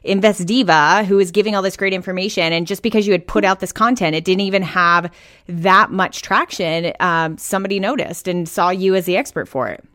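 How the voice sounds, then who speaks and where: Woman, podcast host, mid pitch, dry close recording, encouraging and reflective, many fillers. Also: brisk, a bit bright, no room sound. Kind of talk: interviewing a guest.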